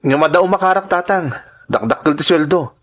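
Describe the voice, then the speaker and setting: A man's voice: on the high side, a little fast, lightly acted. A man with a Filipino accent playing an earnest, worried migrant worker in an Ilocano radio drama, speaking in a hesitant, respectful way. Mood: evasive, reassuring. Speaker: voice actor.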